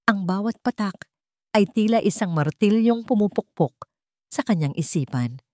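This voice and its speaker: A woman's voice: on the low side, darkish tone, dry recording, clean studio sound. A woman reading a scripted romantic drama as a storyteller, in dramatic narration.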